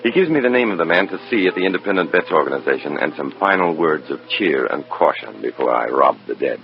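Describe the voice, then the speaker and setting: An actor playing a wry, bitter undercover FBI informant, sardonic and hard-boiled, in an old radio drama. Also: male voice, a bass voice, animated, fast, creaky, heavily acted. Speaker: narrator and protagonist. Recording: dull, muffled old radio recording.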